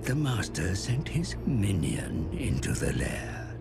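A man playing an ominous storyteller, narrating a dark fantasy game in a portentous, theatrical manner. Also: on the low side, huge pitch swings, slow, vocal fry, heavily acted. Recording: loud music under the voice. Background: dramatic music.

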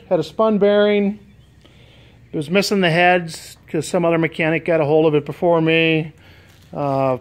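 An American man giving a casual, unscripted walkthrough as a DIY restorer, hesitant and thinking aloud. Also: a little high. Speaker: DIY boat restorer and vlogger. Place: garage with concrete floor. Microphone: handheld camera, operator behind it.

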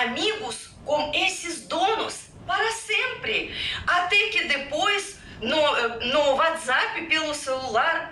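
A woman in her forties from Russia with a Russian accent, talking to viewers in a halting, animated way full of fillers. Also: sits high. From Russia (Siberia). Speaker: featured vlogger. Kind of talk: reacted-to vlog, casual talk to viewers. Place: indoor room with yellow sofa and painting. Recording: roomy, distant, faint noise.

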